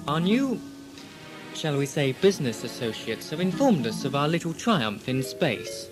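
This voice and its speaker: Profit-hungry company boss, acted out: imperious, self-satisfied, animated delivery for audio drama, over music. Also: medium pitch, normal speed, natural voice, low-quality recording. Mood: smug. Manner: pompous, overbearing, self-satisfied. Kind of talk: radio drama acting. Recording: music behind the voice.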